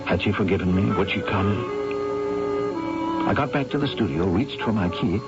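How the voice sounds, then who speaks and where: An actor playing a troubled, obsessive painter in a radio mystery drama, speaking with theatrical intensity and hesitant repetitions. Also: male voice, lowish voice, expressive, moderate pace, natural voice. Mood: anxious narration. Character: troubled, obsessive male painter, fearful and awestruck. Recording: muffled vintage radio broadcast, music underneath.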